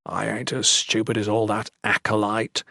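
Man voicing a gruff, folksy old sailor priest. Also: fairly low pitch, monotone, moderate pace, sharp tone, no echo, studio quality, exaggerated voice. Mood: proud, grumbling. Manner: uneducated folksy grammar, bossy. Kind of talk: audiobook character voice.

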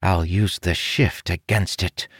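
A man voicing a young winged, trunked male creature in a storybook reading, high and earnest. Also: steady tone, takes it slowly, breathy edge, dry recording, studio quality, heavily acted. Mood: resolute. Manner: high, earnest and pleading, rising to shouts.

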